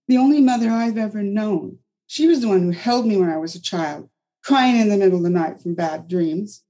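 A woman's voice: mid-range voice, mellow, voice pushed up, lightly acted. A woman voicing a distraught young mother who is bitter and defensive.

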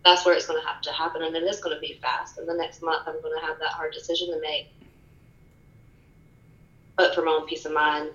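A soft-spoken woman guest on a podcast, speaking candidly and reflectively in casual conversation. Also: sits low, flat delivery, a little fast, long pauses, reverberant, lo-fi sound. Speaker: podcast guest, fitness studio owner. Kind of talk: casual interview chat.

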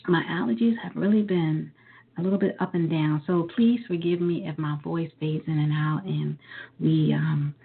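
A woman hosting a live talk radio show, a little hoarse, chatting easily with frequent ums and uhs. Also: lowish voice. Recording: phone-line quality.

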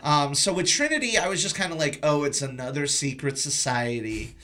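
A man's voice: a little high, lively intonation, brisk, background noise. Guy hosting a movie podcast, just chatting casually, loose and informal. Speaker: podcast host. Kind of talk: casual film review chat.

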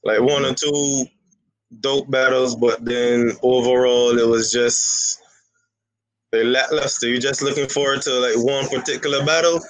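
A man from Barbados with a Barbadian accent, calling in to a casual chat and giving relaxed, confident opinions. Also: medium pitch, measured pace, no room sound, low-quality recording. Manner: laid-back, confident opinions on battle rap. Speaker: guest caller.

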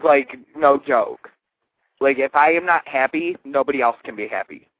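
A man's voice: mid-range voice, expressive, normal speed, dry recording. A twenty-year-old man calling a radio show, chatting casually and confessionally in a blunt, sweary, self-deprecating way. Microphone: telephone. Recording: tinny phone line.

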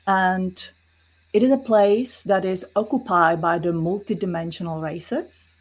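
A woman's voice: lowish voice, fairly even intonation, measured pace, husky. A woman with a Czech accent, a guest in an interview, explaining her views earnestly with many fillers. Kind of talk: casual interview talk.

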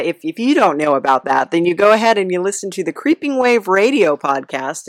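A woman's voice: on the low side, no room sound, clean studio sound. A woman hosting a casual comic podcast interview in a hesitant, awkwardly chirpy, giggly manner. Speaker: podcast host.

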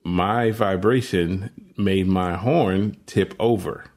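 A man with a very low voice tells a story at an easy, unhurried pace. He chuckles lightly between thoughts and keeps the tone conversational. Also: a little mellow. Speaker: saxophonist and co-host. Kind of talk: casual conversation.

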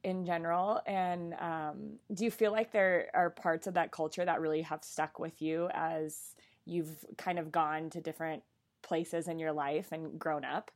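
A woman's voice: low voice, steady tone, unhurried. A woman hosting a podcast, talking in a casual, conversational way as she introduces and interviews a guest.

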